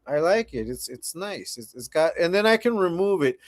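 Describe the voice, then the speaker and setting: A man's voice: a little high, big pitch swings, normal speed. A man in his forties hosting a casual livestream, chatting laid-back with viewers and often repeating himself. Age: 40s. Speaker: livestream host.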